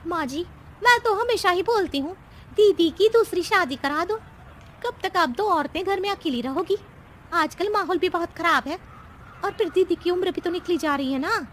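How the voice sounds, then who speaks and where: A woman's voice: very high-pitched, expressive, normal speed, slight background noise. A woman playing a chatty, nagging household cook in a children's story cartoon, whiny and insistent.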